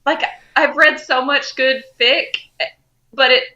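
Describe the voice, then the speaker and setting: Female podcast co-host, crisp forward brightness, slightly high pitch, effusive and conversational. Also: steady tone, takes it slowly, dull audio. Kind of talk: casual fan discussion.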